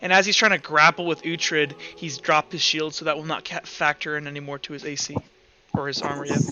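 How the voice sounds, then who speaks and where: A man's voice: fairly high pitch, flat delivery, a little fast, sharp tone. A man casually narrating and refereeing a tabletop roleplaying game as game master. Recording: dull lo-fi sound, music behind.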